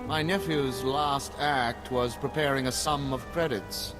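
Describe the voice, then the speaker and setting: A man's voice: mid-range voice, fairly even intonation, a little slow, over music, natural voice. Man playing a haughty, scornful older nobleman. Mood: cold disdain. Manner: formal, disdainful. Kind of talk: video game voice acting.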